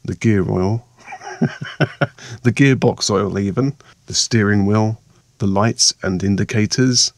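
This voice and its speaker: Croaky male vlogger, lowish voice, dry close sound, rambling casual narration. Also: slow pace, pauses often, dry recording. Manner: chatty, rambling, self-mocking. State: hoarse, croaky voice from a sore throat. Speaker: vlogger and meditation maker.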